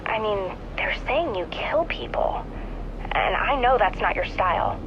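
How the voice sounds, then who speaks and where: A woman's voice: medium pitch, animated, measured pace, lo-fi sound, background noise, natural voice. A woman playing an eager, earnest teenage sidekick in a video game, chatty, casual and confiding. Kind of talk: naturalistic video game voice acting.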